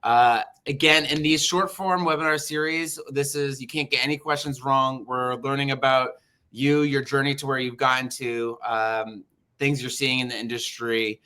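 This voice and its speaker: A man's voice: medium pitch, fairly even intonation, moderate pace, sharp tone. A man hosting a video call interview, friendly and encouraging with many fillers. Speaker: interview host. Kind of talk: casual interview chat.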